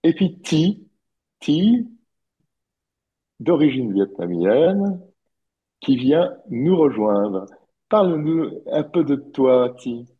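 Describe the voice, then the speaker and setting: Slow, husky male debate host. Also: on the high side, big pitch swings. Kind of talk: casual debate hosting. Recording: dull lo-fi audio.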